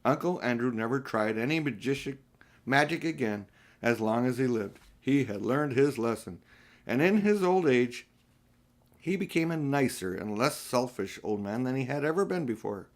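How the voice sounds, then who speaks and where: Older male reader for a children's audiobook, medium pitch, read-aloud storytelling with the odd restart. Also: animated, moderate pace.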